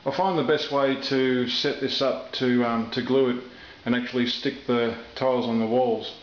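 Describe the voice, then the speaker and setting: A middle-aged man explaining his tiling work in a casual, halting way, with many fillers, filmed indoors in a kitchen on a camera mic. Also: mid-range voice, fairly even intonation. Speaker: home renovator. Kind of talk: casual how-to commentary while working. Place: kitchen under renovation, indoors. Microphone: camera mic, off-camera speaker. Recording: roomy, dull camera audio with faint noise.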